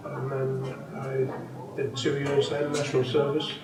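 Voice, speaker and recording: A man's voice: medium pitch, monotone, measured pace, crowd noise. A man in his eighties whose first language is Welsh, an experienced snooker coach and referee looking back on his career in an interview, talking unhurriedly with many ums and restarts. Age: 80s. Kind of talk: interview, reminiscing. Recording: echoey room, distant mic, background noise.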